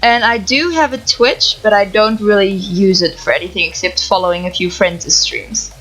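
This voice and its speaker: A young woman from the Netherlands with a Dutch accent, calmly reading out answers to viewer questions for her gaming channel. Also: medium pitch, normal speed, faint music behind, rough recording. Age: young adult. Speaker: gaming YouTuber. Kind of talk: reading Q&A answers to camera, no face cam. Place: home setup, voice-over without camera.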